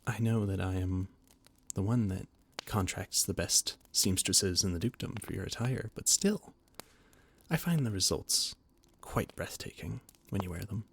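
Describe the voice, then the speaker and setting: A man's voice: deep voice, a little slow, vocal fry, slightly rough. A man voice-acting a flustered, formal royal adviser in an audio roleplay, often stammering. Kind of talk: audio roleplay, scripted monologue.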